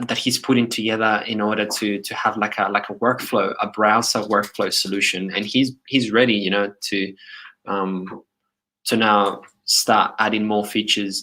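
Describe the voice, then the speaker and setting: A man's voice: on the low side, sharp tone, low-quality recording. A man in his thirties from Chile, with a Chilean Spanish accent gone partly Australian, hosting a casual livestream and rambling with many fillers and restarts. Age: 30s. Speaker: livestream host.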